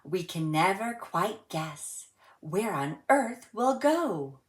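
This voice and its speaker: Slow, echoey woman reading a children's story. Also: fairly low pitch, sing-song. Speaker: story time host reading a children's book aloud. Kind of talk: children's read-aloud storytelling and friendly presenting to young viewers.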